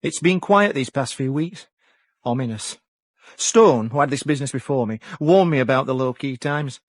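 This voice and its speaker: A man with a South Yorkshire accent playing a self-important amateur private detective, deadpan and pompous, in a comic radio drama. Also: medium pitch, normal speed, no room sound, clean studio sound, close to an everyday voice. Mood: deadpan brooding. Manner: deadpan, pompous hard-boiled narration. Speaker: lead actor and narrator.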